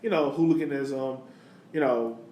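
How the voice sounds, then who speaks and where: A man's voice: mid-range voice, normal speed, a little mellow. A man co-hosting a podcast, chatting casually about sports. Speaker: podcast host. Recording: roomy echo, background noise.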